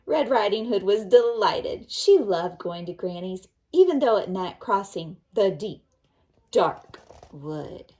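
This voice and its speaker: A woman's voice: mid-range voice, expressive, warm tone. Patient woman teacher reading to kids. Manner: patient, explains slowly to young listeners. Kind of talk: read-aloud lesson for children.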